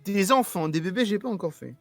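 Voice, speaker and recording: A man's voice: sits high, expressive, a little fast, fairly bright tone, dry recording, faint music behind. A man playing in a tabletop game, chatting casually and hesitantly with many fillers. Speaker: player. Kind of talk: casual chat among tabletop players.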